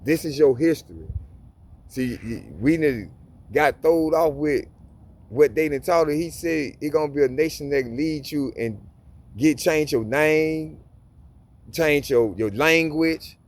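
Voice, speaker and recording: A man's voice: on the high side, unhurried. A man giving informal Bible reading and commentary in a casual preaching manner, often restarting and repeating words. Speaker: Bible teacher.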